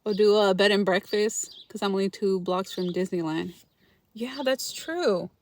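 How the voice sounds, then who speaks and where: A woman's voice: mid-range voice, measured pace, darkish tone. A middle-aged woman from Los Angeles, hosting a casual livestream and chatting with viewers by name, laughing often. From Los Angeles, California. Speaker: frugal-living channel host reading viewer comments.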